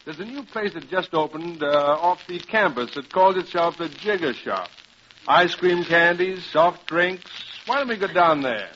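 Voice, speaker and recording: An actor playing a blustering, boastful middle-aged professor and husband in a vintage radio sitcom, bumbling and defensive. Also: male voice, a little high, lively intonation, unhurried, bright, forceful tone. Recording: muffled old radio recording, heavy noise.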